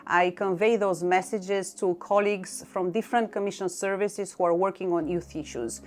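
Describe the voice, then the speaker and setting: A middle-aged woman from Bulgaria with a Bulgarian accent, explaining her work in a measured way and listing items one by one. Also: medium pitch, fairly even intonation, a little mellow. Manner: measured, lists items one by one with short pauses. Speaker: EU youth coordinator. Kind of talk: explanatory talk to camera. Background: faint background music.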